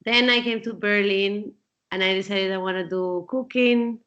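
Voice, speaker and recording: A woman's voice: mid-range voice, steady tone, moderate pace, a bit bright. A woman from Peru in her forties, answering interview questions in a thoughtful way, with frequent fillers and restarts. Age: 40s. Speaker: guest. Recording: dull lo-fi sound.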